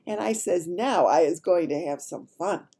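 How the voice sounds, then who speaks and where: A woman voicing an excitable, mischievous young boy telling his dream in gleeful, ungrammatical storybook speech. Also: lowish voice, normal speed, pitched above the performer's own voice. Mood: gleeful, boastful.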